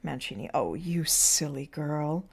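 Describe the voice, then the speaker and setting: A woman's voice: low-pitched, lively intonation, a little slow, creaky, breathy edge, full character voice. A pompous father from a stage drama, acted out by a woman. He declaims grandly and formally, like a man of ceremony addressing his guests. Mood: scolding.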